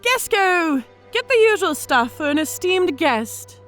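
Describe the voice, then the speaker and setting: A confident female orc centurion played by a woman for a fantasy audio drama. Her voice is high and animated in pitch, with a playful, teasing, hospitable manner. She speaks like an officer at ease with her own magical skill. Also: slow pace, faint music behind, exaggerated voice. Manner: playful, self-assured, hospitable.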